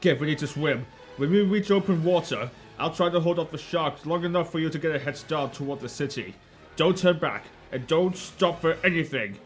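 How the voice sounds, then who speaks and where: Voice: mid-range voice, brisk, natural voice. Someone playing brave defiant cartoon guard, firm urgent orders, bit of music behind. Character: defiant, resolute underwater city guard leading an escape. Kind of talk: fan dub animation acting.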